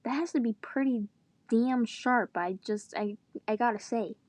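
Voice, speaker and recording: A woman's voice: on the high side, measured pace, darkish tone, no room sound. A woman reading a story aloud dramatically, with joking asides in her own voice. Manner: breaks character to groan and joke. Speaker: reader of fan fiction.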